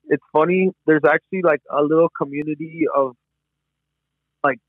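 A man's voice: on the high side, steady tone, normal speed, no room sound. A young male podcast host chats in loose, slang-heavy talk, tossing in "bro" at every turn. His voice comes through a narrow, tinny, phone-like line with a dry, close sound. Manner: slangy, says "bro" and "hella" a lot. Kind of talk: casual conversation.

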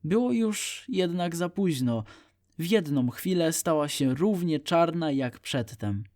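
A man's voice: fairly high pitch, animated, slow, a little mellow, dry recording, studio quality. A man narrating an audiobook story for young children.

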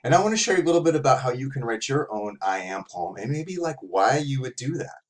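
A man's voice: medium pitch, very animated, a little fast. A middle-aged man giving a casual, conversational writing lesson as an author and teacher, recorded indoors at a computer. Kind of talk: casual instructional talk to young writers. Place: small room at home, indoors.

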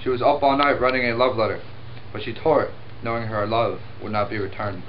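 A young man reading his parts flatly for a home puppet show of a classic play. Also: lowish voice, fairly quick, muffled recording, slight background noise. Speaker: amateur sock-puppet performer.

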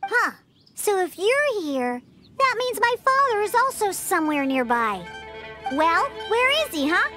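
Very high-pitched sassy little cat girl. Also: big pitch swings, a little slow, sharp tone, exaggerated voice. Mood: suspicious, huffy. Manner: huffy, haughty, emphatic delivery. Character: sassy, grumpy cat-eared little girl bartender who scorns drunks. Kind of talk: scripted video game dialogue. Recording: music behind. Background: background game music.

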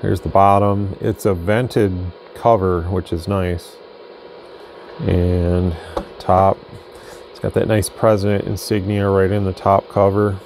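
Male demonstrator, low and mellow, off-the-cuff delivery, audible noise behind him. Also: flat delivery, slow pace. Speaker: radio product demonstrator. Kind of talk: casual unscripted hands-on demo narration. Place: indoor electronics workbench. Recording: noticeable background noise.

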